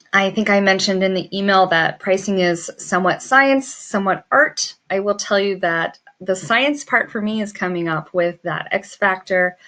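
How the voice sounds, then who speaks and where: A woman giving an informal, unscripted walkthrough as an instructor, thinking aloud in a halting, hesitant way. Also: lowish voice, fairly bright tone. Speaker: instructor, florist business owner. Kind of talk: informal screen-share walkthrough, unscripted explanation.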